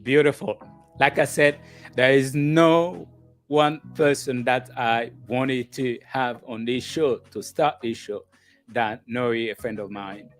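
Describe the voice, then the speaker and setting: A man of middle pitch hosts a live call-in show, greeting viewers and his guest. His delivery is slow and deliberate, with phrases repeated to land them. Faint music sits under his voice. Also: steady tone. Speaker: host of a call-in relationship show.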